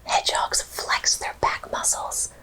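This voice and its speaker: A woman host narrating educational animal facts in an ASMR style, whispering slowly and deliberately. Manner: whispers slowly and deliberately through most of the talk.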